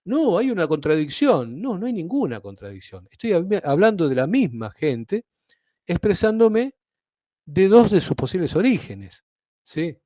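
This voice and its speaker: A man's voice: high voice, big pitch swings, measured pace, mellow, no echo. A man with an Argentine accent giving a Bible teaching, explaining step by step and often checking in with listeners. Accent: Argentine Spanish. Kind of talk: teaching sermon.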